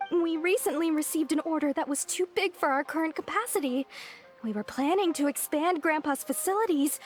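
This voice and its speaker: An actress voicing a sweet young village damsel in a video game, theatrical and pleading. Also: female voice, high voice, lightly acted. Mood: tearful, distressed. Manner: theatrically tearful, pleading, flattering. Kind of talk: exaggerated video game voice acting.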